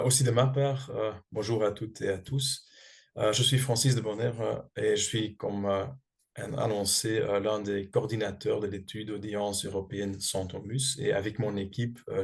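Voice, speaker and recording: A man's voice: fairly low pitch, creaky. A man, a French speaker giving a research presentation, hesitant with many fillers and restarts. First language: not French. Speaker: guest speaker, research coordinator. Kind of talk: presentation talk.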